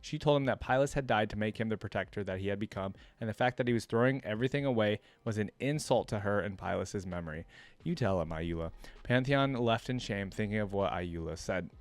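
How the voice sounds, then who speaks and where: A man's voice: lowish voice, brisk, dry recording. A man reading aloud in the style of a streamer's reaction, with casual, offhand commentary. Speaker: streamer reacting to game lore.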